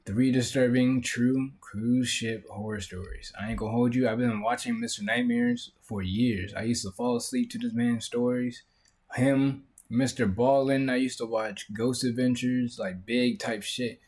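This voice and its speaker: Husky young man reacting. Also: medium pitch, unhurried, a little mellow. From Maryland, USA. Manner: slangy, laid-back, talks over the video. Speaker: reaction channel host. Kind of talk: casual reaction commentary.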